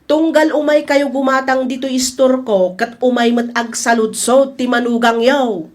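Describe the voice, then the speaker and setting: Actress as nosy Ilocano store owner. High-pitched, confiding, disapproving gossip for comedy drama. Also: female voice, steady tone, normal speed, darkish tone, lightly acted. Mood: gossipy. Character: gossipy, meddling woman storekeeper, chatty and disapproving.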